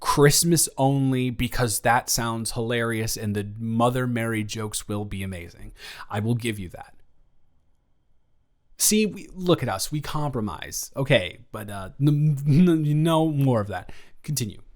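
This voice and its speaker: A man's voice: mid-range voice, lively intonation, a little slow, lightly acted. A man playing a young, teasing, easily flustered best friend in an improvised audio roleplay, joking and rambling with stammered restarts. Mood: playfully grudging. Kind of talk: improvised audio roleplay, casual one-sided conversation.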